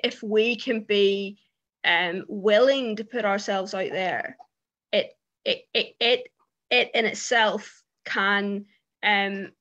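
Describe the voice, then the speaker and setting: A woman's voice: medium pitch, a bit bright, highs cut off. A British woman on a panel who stammers, talking casually with many fillers and repeated words. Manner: stammers, frequent fillers and repeats, laughs between points.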